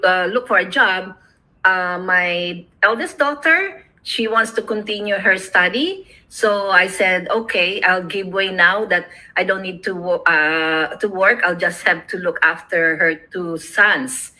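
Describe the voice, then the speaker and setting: A woman's voice: fairly low pitch, a little slow, bright, forceful tone, low-quality recording. A middle-aged woman with a Filipino accent, chatting casually on a video call and rambling with many fillers about her online business. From Philippines. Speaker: online business owner, co-host.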